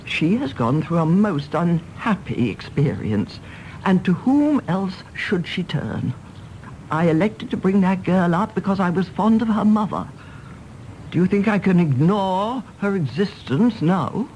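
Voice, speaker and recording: A woman's voice: on the low side, big pitch swings, a little slow, darkish tone, slight background noise, low-quality recording. A woman with an English accent playing a stern, dutiful elderly mother in a radio drama, genteel and reproachful. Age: older. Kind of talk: stage play performed as broadcast drama.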